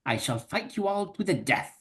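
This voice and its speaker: Man acting as a small fox knight, chivalrous, boastful, very lively pitch, video call reading. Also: moderate pace. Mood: indignant. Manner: archaic chivalrous speech, blustering. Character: gallant little male fox knight, boastful and brave. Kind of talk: amateur dramatic reading.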